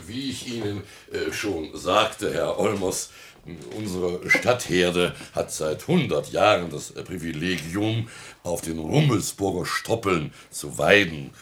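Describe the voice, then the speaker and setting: An actor playing a fussy, single-minded small-town mayor in a period comedy radio play, hesitant, plodding and obtuse. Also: male voice, low voice, slow, fairly bright tone, slight background noise.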